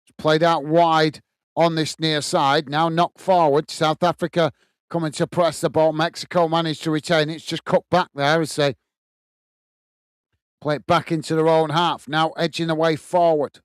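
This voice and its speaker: A man's voice: on the high side, flat delivery, moderate pace, smooth, no room sound, studio quality. An English man hosting a live football watchalong, calling the play steadily and reading out chat comments. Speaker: watchalong host.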